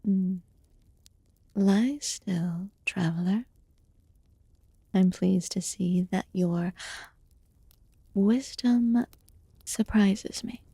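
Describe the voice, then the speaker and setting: A woman voicing an aloof, curious fae witch healer in a fantasy ASMR roleplay, speaking formally and softly, often dropping into a whisper. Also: medium pitch, breathy edge, dry recording, full character voice. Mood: calm, solemn. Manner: formal, archaic, deliberate phrasing.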